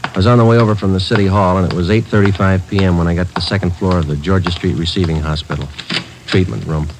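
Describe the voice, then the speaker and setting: An actor playing a terse male police detective who narrates in a clipped, flat, matter-of-fact way in a 1950s radio drama. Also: low voice, quick pace, background noise, close to an everyday voice. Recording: old radio broadcast with music beneath.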